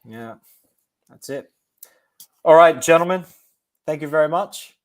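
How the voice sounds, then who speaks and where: A man's voice: on the high side, unhurried. A man hosting a podcast who chats in an easygoing way and wraps up the show. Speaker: podcast host. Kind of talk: casual chat.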